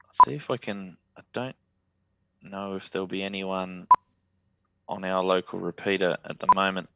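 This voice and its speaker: An Australian man on a video call, casually showing off his radio station as he works the software. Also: low voice, moderate pace, gravelly, muffled recording, very noisy. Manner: relaxed, talks while operating radio software.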